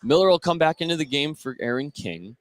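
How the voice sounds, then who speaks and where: A man's voice: a little high, sing-song, a little fast, noisy background. A man doing live play-by-play radio commentary of a basketball game.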